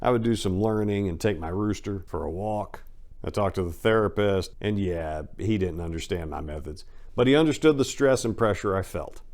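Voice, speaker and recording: A man's voice: deep voice, moderate pace, a little mellow. A man giving a dramatic reading of a first-person story as an audiobook narrator, in a colloquial, salty and emphatic way.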